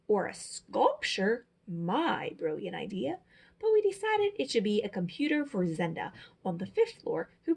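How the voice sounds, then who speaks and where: A woman's voice: medium pitch, gravelly, a little mellow, husky. A woman reading a children's picture book aloud as a storyteller, with dramatic, emphatic phrasing. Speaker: storyteller, teacher.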